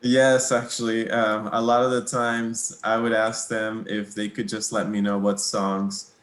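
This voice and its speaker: A young man from Mexico whose first language is Spanish, answering interview questions in a relaxed, hesitant way with many pauses and small laughs. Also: on the low side, reverberant. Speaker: guitarist, interview guest.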